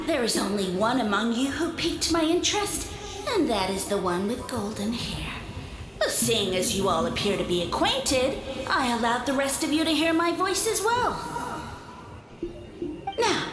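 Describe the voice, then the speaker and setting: A woman's voice: on the high side, reverberant, noisy background. A woman voicing a pompous, self-proclaimed sage lord of fungi who talks down to everyone with grand, condescending speech. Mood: grandiose.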